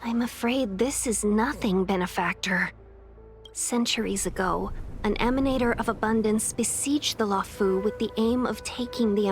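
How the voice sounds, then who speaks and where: Actress doing haughty composed anime game woman, slow n formal, quietly menacing, light music behind. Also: mid-range voice, lightly acted. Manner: poised, formal, deliberate.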